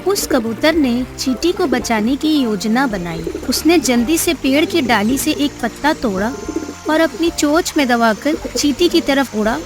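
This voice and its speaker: High-voiced woman narrating a children's story. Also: animated, unhurried.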